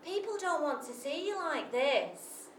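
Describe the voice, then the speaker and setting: A woman's voice: sits high, sing-song, a little slow, far from the mic, a little hiss or hum. An actress performing a monologue as a volatile mother with bipolar disorder, raw and given to swearing. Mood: despairing. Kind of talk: theatrical monologue. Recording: echoey stage recorded from afar.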